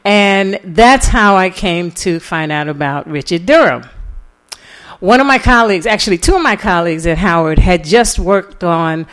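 A woman's voice: on the low side, moderate pace. A middle-aged American woman from New York City giving an informal lecture, telling her own story in a conversational way. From New York City, USA. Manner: conversational, animated retelling with quoted dialogue and chuckles. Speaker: guest lecturer and author.

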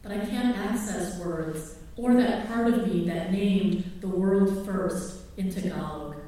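A woman's voice: on the low side, mellow. Woman reading her memoir, echoey room. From Philippines. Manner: measured, reflective reading. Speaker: author. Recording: echoey room, distant from the mic.

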